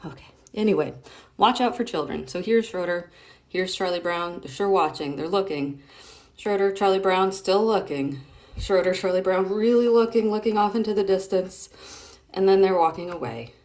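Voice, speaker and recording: A woman's voice: mid-range voice, normal speed, a little mellow. A woman hosting a casual read-aloud of comic strips, chatting playfully and expressively as she explains things directly to a child.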